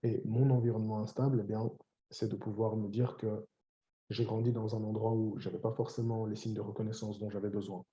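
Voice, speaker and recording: Earnest guy talking slow and deliberate, low mellow voice, kinda muffled recording. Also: flat delivery. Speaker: coach and channel host. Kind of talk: reflective personal testimony. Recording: dull lo-fi sound.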